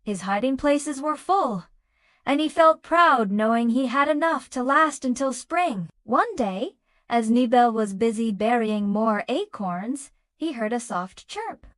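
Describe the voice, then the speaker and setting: A woman's voice: mid-range voice, dry recording, clean studio sound. A woman reading scripted bedtime stories for children as a storyteller and host.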